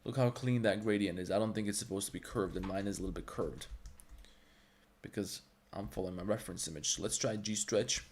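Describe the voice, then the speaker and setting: Man, Balkan accent, native Serbo-Croatian speaker, lowish voice, blunt and profane, rambling livestream tutorial talk. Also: a little fast, a little hiss or hum. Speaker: 3D artist and streamer.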